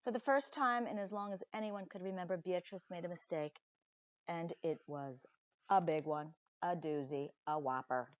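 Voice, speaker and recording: A woman reading a children's story aloud expressively, with deliberate dramatic pauses and chatty asides to young listeners, like a teacher. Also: on the low side, no echo, muffled recording.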